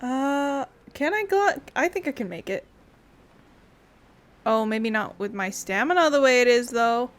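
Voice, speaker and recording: A woman's voice: a little high, lively intonation, a little slow. A woman doing casual let's play commentary, playful and often muttering to herself as she plays. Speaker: gaming streamer.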